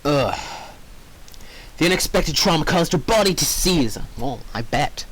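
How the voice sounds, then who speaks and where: High-pitched, animated male story reader. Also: unhurried, husky. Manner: expressive, squeamish asides. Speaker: fan fiction reader. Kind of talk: informal story narration with reactions.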